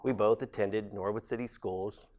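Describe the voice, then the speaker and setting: Earnest man, lowish voice. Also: fairly even intonation, a little mellow. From Ohio, USA. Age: late 40s. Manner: measured, earnest, formal. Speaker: council president candidate. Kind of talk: campaign statement to camera.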